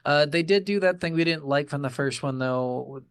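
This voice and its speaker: A man's voice: on the high side, moderate pace, no room sound, studio quality. A man co-hosting a podcast, chatting casually about video games in a blunt way and swearing freely. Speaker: podcast host. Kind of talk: casual video game review chat.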